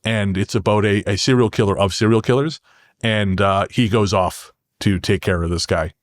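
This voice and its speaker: A man with a fairly low voice talks casually as a podcast guest and writer. He is earnest and halting, often rephrasing as he goes. The sound is very dry and close, with no room echo. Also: steady tone. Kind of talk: casual podcast conversation, storytelling.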